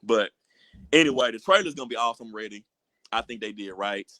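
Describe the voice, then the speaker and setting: A man's voice: lowish voice, lively intonation, fairly quick, pushed and bright, no echo. An American man talking casually with friends as a podcast host. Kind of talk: casual group podcast chat.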